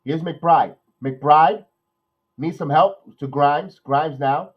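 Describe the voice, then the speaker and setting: Nasal man calling a game excitedly. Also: fairly high pitch, very slow, husky, muffled recording. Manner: excited play calling, rambling opinion talk with restarts. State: nasal from a cold. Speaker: podcast host doing live play-by-play. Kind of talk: watch-along game commentary and chat.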